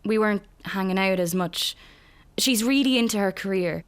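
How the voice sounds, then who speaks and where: Woman as a guarded, deadpan young Irishwoman. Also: mid-range voice, normal speed, smooth, close to an everyday voice. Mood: low, reluctant. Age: young adult. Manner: hesitant, deadpan. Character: guarded, wry, depressed young woman in therapy. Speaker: actor in a radio drama. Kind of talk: naturalistic radio drama acting.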